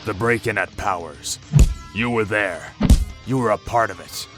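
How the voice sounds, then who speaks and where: A man's voice: lowish voice, unhurried, loud music behind the voice, close to an everyday voice. A man voicing a grim masked vigilante who speaks in short, cold, commanding lines. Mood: menacing.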